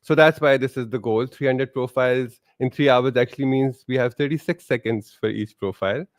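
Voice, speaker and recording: A man's voice: mid-range voice, fairly quick, dry recording. A man from Pakistan, co-hosting a live stream with teasing, self-mocking humor. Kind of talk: casual live-stream chat.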